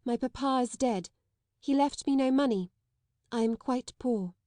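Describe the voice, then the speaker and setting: A small, proud girl who speaks low, steady and mournful, as a woman reader puts her on, her voice lifted well above the reader's own. The recording is close and dry. She sounds like a child holding herself together. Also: unhurried, no room sound. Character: proud grieving little girl, quietly steadfast.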